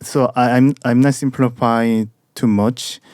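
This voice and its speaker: Man, mellow smooth voice. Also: medium pitch, unhurried, no room sound, clean studio sound. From South Korea. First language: Korean. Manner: relaxed, short prompts and questions. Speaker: podcast host. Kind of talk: casual interview chat.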